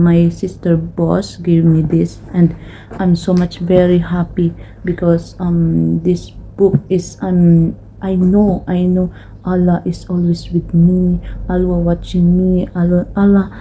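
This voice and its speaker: A young Filipino woman talking casually through an unboxing, halting with many fillers and switching between Tagalog and English. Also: lowish voice, warm tone, slight background noise, rough recording. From Philippines. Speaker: vlogger.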